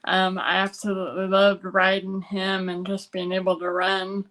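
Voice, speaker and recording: A woman with an American accent speaking conversationally as an interview guest, halting often to gather her thoughts. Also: mid-range voice, monotone, fairly bright tone, low-quality recording. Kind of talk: conversational personal storytelling.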